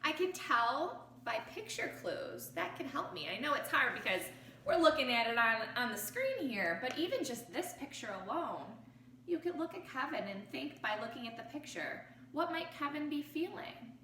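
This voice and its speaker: A woman reading a picture book aloud to children like a teacher, expressively, pausing to pose questions to her young listeners. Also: high-pitched, big pitch swings, bright, forceful tone. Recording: roomy, distant mic with faint noise.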